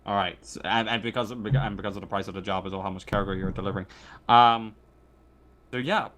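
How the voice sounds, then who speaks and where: A man referees a tabletop roleplaying game in a casual, conversational voice. His explanations come out haltingly, as he feels his way through them. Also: fairly low pitch, steady tone, fairly bright tone. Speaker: game master.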